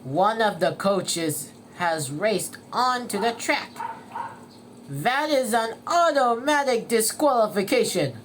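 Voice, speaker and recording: A woman playing an excited male sports announcer calling a cartoon race in a storybook, with a raised, projected voice. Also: on the high side, takes it slowly, a little hiss or hum, pitched above the performer's own voice. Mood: dramatic. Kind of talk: play-by-play race commentary.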